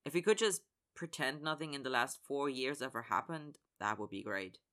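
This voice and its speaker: A man's voice: medium pitch, moderate pace, pushed and bright, close to an everyday voice. A man playing a dry, guarded young hockey player. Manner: dry, curt.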